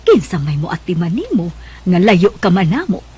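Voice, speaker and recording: Woman playing a lively-toned worried Bisaya mother. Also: fairly low pitch, moderate pace, breathy edge, heavily acted. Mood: worried, reluctant. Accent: Cebuano Bisaya. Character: gentle, worried mother of a young girl. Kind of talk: Bisaya radio drama acting.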